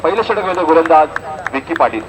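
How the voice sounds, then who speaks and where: A Maharashtrian man with a fairly high-pitched voice delivers live cricket commentary. The recording is from an outdoor ground, and there is clear noise behind his voice. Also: expressive, fast talker.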